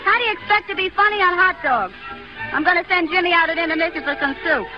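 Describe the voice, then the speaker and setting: Tough, caring showgirl fiancee, high voice, slangy nagging, muffled old radio drama, music behind. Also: fast talker. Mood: nagging, sarcastic. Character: tough, caring burlesque showgirl, comic's long-suffering fiancee. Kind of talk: 1930s radio drama acting.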